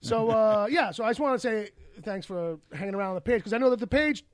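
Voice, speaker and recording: A man with a high, raspy voice, hosting a casual podcast chat, rambling and laughing often. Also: quick pace. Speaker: podcast host.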